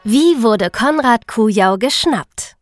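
Higher-pitched woman chatting on a podcast. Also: animated, takes it slowly, no room sound, studio quality, soft background music. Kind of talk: casual, colloquial chat.

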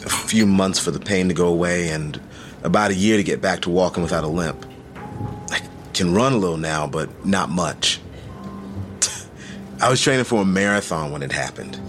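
A man's voice: sits low, moderate pace, pauses often, music in the background, lightly acted. A man playing an easygoing but guarded survivor in an audio drama, talking in a casual, understated way. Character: easygoing, guarded male shooting survivor, an illustrator. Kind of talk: naturalistic audio drama dialogue.

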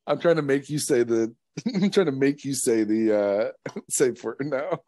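A man's voice: medium pitch, lively intonation, slow, warm tone, dry recording, clean studio sound. A man in his thirties hosting a podcast, playful and teasing, laughing often. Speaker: podcast host. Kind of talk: casual chat.

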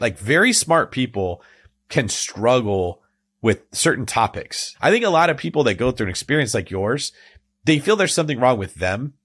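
A man hosts an interview podcast, asking questions in a friendly, conversational way and adding reflective remarks. His voice is mid-pitched for a man. The recording is dry, with no room echo. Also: fairly bright tone. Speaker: podcast host.

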